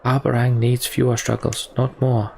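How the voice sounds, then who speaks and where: A man playing a candid, disillusioned young prince in a fantasy game, speaking in a measured, reflective way. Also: medium pitch, little pitch movement, vocal fry, darkish tone, a little hiss or hum, lightly acted. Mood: resigned. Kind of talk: voiced game dialogue reading.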